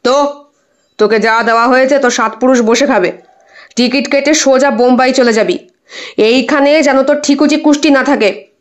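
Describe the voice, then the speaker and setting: A woman's voice: high voice, normal speed, lo-fi sound, close to an everyday voice. A woman voicing a callous male gang thug who gives curt, contemptuous orders in an audio story.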